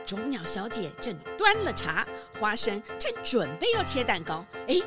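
Animated woman narrating a children's story. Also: a little high, normal speed, over music.

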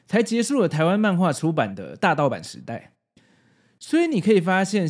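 Lively Taiwanese man narrating, higher-pitched. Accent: Taiwanese Mandarin. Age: 40s. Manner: explanatory, nostalgic. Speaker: host. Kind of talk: scripted video essay narration with casual asides.

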